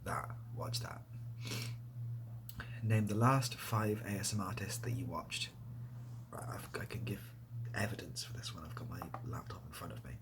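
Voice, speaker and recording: A man speaking softly in a casual ASMR style, breathy and often dropping to a whisper, rambling hesitantly with a self-deprecating manner. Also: low-pitched, moderate pace, pauses often. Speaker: ASMR video creator and host. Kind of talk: soft-spoken ASMR, casual question-and-answer to camera.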